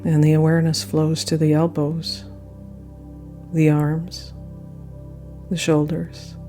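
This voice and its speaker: A woman guiding a yoga nidra meditation with gentle, unhurried phrasing and frequent pauses. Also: low voice, monotone. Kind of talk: guided meditation narration. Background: soft meditation music.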